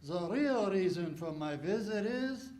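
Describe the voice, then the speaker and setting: Man playing a high-voiced, menacing major. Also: animated, unhurried, a little mellow. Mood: stern. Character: courteous but menacing German Nazi major. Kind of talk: 1940s radio acting.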